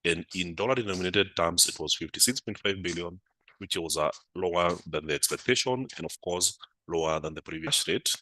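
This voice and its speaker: Low-voiced guy with a bit of a flu, lots of uhs, bright clear tone, dry sound. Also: a little hiss or hum. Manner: unhurried, with frequent "uh" fillers, answering live comments. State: voice affected by a flu. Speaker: markets analyst hosting a live stream. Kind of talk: live market analysis stream.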